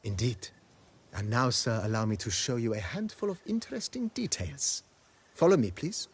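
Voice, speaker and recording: An actor playing a formal, refined majordomo of a wine estate in a video game, deferential and given to telling tales with flourish. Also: male voice, mid-range voice, expressive, moderate pace, slightly rough. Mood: polite, proud. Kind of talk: video game character acting.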